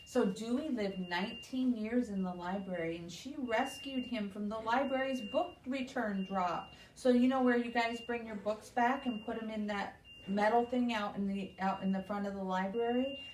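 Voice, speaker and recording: A woman's voice: mid-range voice, darkish tone. Woman hosting children's story time, echoey room. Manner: addresses small children with simple questions and explanations. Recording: echoey room, distant mic, faint noise.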